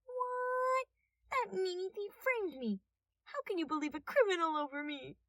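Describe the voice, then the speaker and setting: A woman's voice: very high voice, a little slow, fairly bright tone, dry recording, studio quality, recorded from a distance, pitched above the performer's own voice, exaggerated voice. A woman voicing a cheeky, giggly short young male detective with a whiny, taunting, mischievous manner in a story. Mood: whiny, excitable.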